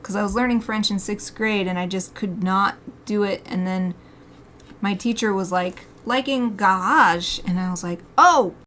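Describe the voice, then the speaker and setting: A woman's voice: medium pitch, moderate pace, fairly bright tone, slight background noise. Giggly, chatty woman drawing on stream. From United States. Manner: chatty, giggly, talks to herself and reads chat aloud. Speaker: artist streaming live drawing. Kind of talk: casual chat while drawing live.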